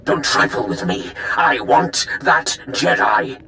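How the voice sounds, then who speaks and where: An actor playing a vengeful, menacing Sith lord in a video game, with a gravelly, commanding, threatening delivery. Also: male voice, mid-range voice, sing-song, slow, sharp tone, a little hiss or hum, exaggerated voice. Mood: vengeful fury.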